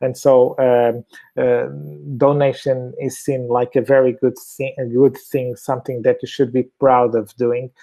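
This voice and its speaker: Portuguese guy on a webinar call, um-ing and restarting a lot, mellow flat voice. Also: mid-range voice, unhurried. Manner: hesitant, many fillers and restarts. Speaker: fertility clinic doctor, webinar speaker. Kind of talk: webinar presentation.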